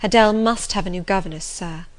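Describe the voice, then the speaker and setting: A woman's voice: medium pitch, fast, lightly acted. A woman voicing an earnest young governess, firm, respectful and self-controlled, in a classic novel audiobook. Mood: quiet, firm.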